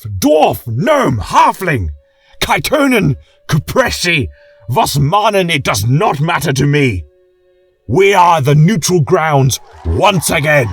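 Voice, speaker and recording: A man playing a weary, passionate warrior king with a rough voice, giving a solemn, declamatory speech to his people in a fantasy audio drama. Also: a little high, pitched above the performer's own voice, heavily acted. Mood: impassioned, rousing. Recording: echoey room, music behind. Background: choral music.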